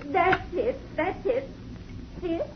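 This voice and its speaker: A woman's voice: very high voice, animated, takes it slowly, full character voice. An actress playing a distraught young woman who pleads tearfully, in a 1950 radio drama. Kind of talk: 1950s radio drama acting. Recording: dull noisy old radio recording.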